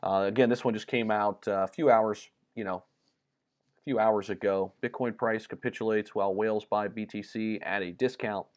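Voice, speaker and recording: Chatty low-voiced man hosting a livestream. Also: normal speed. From United States. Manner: relaxed and chatty, many ums and repeated words. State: slightly losing his voice. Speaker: crypto livestream host. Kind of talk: casual livestream chat reading viewer comments.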